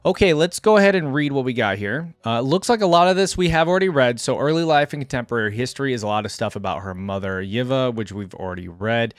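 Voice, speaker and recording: A man's voice: mid-range voice, lively intonation, quick pace, hardly any pauses, dry recording, clean studio sound. A man hosting a reaction video, reading aloud and breaking in with informal commentary. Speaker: YouTube reaction host.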